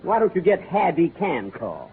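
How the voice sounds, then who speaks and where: A man playing a vain, smooth-talking crook in a 1940s radio comedy, with a hammy, mock-romantic delivery. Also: a little high, huge pitch swings, moderate pace, slight background noise, heavily acted. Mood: scheming. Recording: tinny old radio broadcast with audience.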